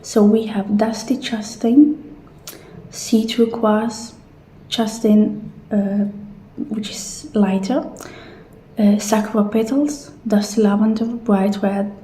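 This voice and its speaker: A woman doing a soft, partly whispered ASMR roleplay as a gentle attendant walking a client through options. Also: medium pitch, steady tone, takes it slowly, pauses often. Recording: roomy echo, faint background noise.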